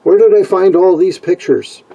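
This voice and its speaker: An older man narrating informally from a script, with a husky, gravelly voice and wry, folksy asides. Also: high voice, moderate pace, mellow, low-quality recording. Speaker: hobbyist video narrator. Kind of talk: informal scripted narration about vintage radios.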